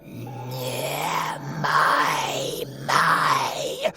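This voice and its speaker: A man's voice: on the high side, steady tone, very slow, vocal fry, bright, forceful tone, soft background music, heavily acted. A British man voicing a sadistic, predatory supervillain monster in an audio roleplay, mocking his prey with theatrical menace. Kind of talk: villain audio roleplay acting.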